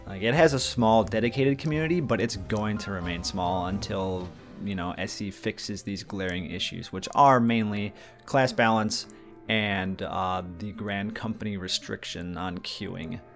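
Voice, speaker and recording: A man's voice: on the low side, a little slow, a bit bright, faint music behind. A man, chatting casually and rambling a bit as he answers viewer questions and covers game news. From Minnesota, USA. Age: mid-20s. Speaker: gaming channel host.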